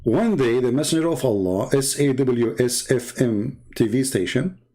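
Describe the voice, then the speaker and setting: A man's voice: mid-range voice, animated, normal speed, mellow. A man who speaks English as a second language, hosting a mocking commentary on religious texts, sarcastic and emphatic, with rhetorical questions and repeated words. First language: not English. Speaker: host of a religious debate show.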